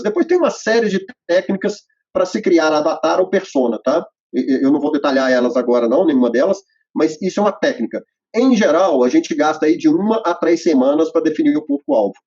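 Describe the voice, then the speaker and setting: A man with a husky, rather high voice walks through a method step by step, pausing on fillers as he explains. The recording sounds muffled and lo-fi. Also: a little mellow. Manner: explanatory, enumerating steps, frequent fillers. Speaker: host presenting to accountants. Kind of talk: instructional conversation. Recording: muffled lo-fi audio.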